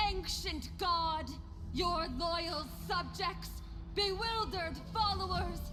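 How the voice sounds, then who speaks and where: A fervent, extremely high-pitched herald proclaims grandly in a video game. The delivery is measured and declamatory, ringing through a big hall. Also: female voice. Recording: long hall reverb under music.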